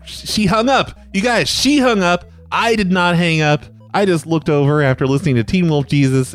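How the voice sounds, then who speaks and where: A man hosting a call-in show, mocking and sarcastic in his replies. His pitch sits in the middle range, and faint music plays under him. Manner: sarcastic, teasing. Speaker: podcast host playing voicemails. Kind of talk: casual comedic banter.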